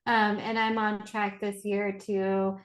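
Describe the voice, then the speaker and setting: A woman's voice: medium pitch, flat delivery, slow. Chatty woman from Indiana, echoey room. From Indiana, USA. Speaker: freelance web designer.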